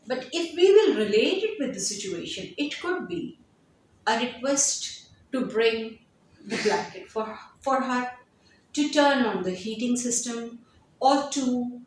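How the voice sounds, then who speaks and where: A woman whose first language is not English, hosting her own channel and slowly explaining a language lesson, often repeating phrases as she builds a point. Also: on the high side, a little hiss or hum. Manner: slow, deliberate, repeats phrases while explaining. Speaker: English teacher, channel host. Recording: echoey room, somewhat far from mic.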